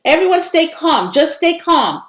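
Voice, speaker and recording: A woman's voice: very high voice, big pitch swings, unhurried, fairly bright tone, voice pushed up, exaggerated voice. A woman voicing a bossy, take-charge young girl in a storybook reading, in a roomy recording. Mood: commanding.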